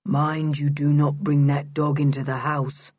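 A woman voicing a sullen, menacing male servant in a deliberate monotone for a children's storybook. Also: low-pitched, slightly rough, no room sound. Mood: cold, menacing.

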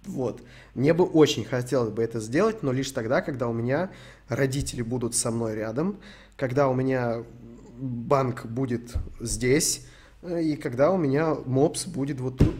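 A man's voice: medium pitch, normal speed, a little mellow, breathy edge. A young man from Ukraine streaming, answering chat questions in a rambling, sweary, joking way. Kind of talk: casual stream Q&A.

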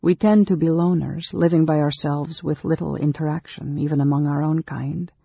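A woman voicing an earnest, nervous woman of a long-lived secretive race in a storybook, speaking haltingly and with emotion. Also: low voice, fairly even intonation, gravelly, mellow, breathy edge, dry recording, muffled recording, exaggerated voice. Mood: earnest, flustered.

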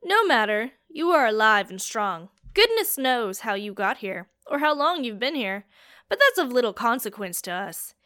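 A woman voicing a gruff, scarred male captor who taunts his prisoner loudly. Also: fairly high pitch, big pitch swings, normal speed, no room sound, clean studio sound. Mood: taunting. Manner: loud, taunting.

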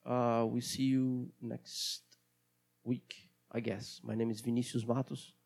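Gravelly-voiced Brazilian man rambling casually. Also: medium pitch, level tone, slow, a little mellow. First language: Portuguese. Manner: hesitant, rambling, many restarts. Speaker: podcast host. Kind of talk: casual solo podcast monologue.

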